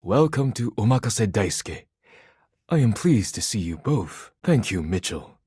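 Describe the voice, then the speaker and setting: A man voicing a solemn, proud, stern Japanese omakase chef in a video game, speaking in formal, measured phrases. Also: fairly low pitch, big pitch swings, mellow, husky, dry recording, clean studio sound, heavily acted. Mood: formal, courteous. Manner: formal, measured, clipped phrasing without contractions. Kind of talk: scripted video game character dialogue.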